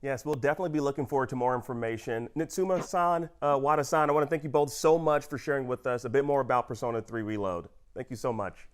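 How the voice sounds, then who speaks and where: A man with a medium-pitched voice hosts an interview for a gaming outlet. He sounds polite and presenterly, with a prepared, orderly delivery. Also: quick pace, few pauses, clean tone. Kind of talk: scripted interview hosting.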